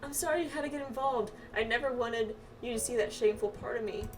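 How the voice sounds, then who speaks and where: A woman acts out a timid, apologetic girl from a fantasy game in a high, hesitant voice. The mic is a little distant, with music playing behind. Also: natural voice. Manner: hesitant, halting, stammering.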